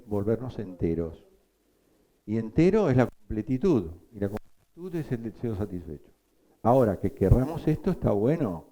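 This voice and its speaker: A man teaching a psychoanalysis class, explaining slowly and quizzing his students. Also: lowish voice, very animated, warm tone, rough recording. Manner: slow, explanatory, asks the class questions. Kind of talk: lecture.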